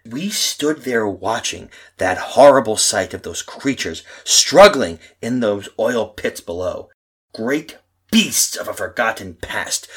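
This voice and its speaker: Man acting haunted family man, radio horror drama, bright strained husky tone, stammering, urgent repetition, disbelieving. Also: medium pitch, full character voice. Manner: agitated, stammering, repeats himself urgently. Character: frantic, disbelieving husband and father lost in prehistoric past. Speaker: actor and narrator in a radio drama.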